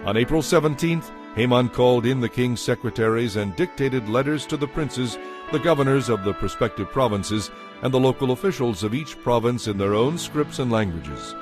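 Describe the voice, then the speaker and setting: A man's voice: medium pitch, expressive, normal speed. A man narrating scripture as a scripted, dramatized story, with a solemn storytelling delivery. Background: music.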